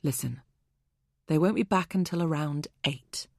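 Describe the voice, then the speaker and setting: A woman reading an audiobook, voicing a calm, patronizing adult man. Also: a little high, a little slow, creaky, no echo, studio quality. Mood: weary. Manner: patronizing, unruffled.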